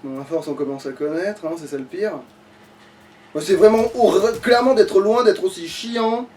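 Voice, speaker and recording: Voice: mid-range voice, normal speed, darkish tone, distant mic, a little hiss or hum. An exasperated video game player chatting casually and swearing heavily during a let's play. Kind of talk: casual let's play chat while playing.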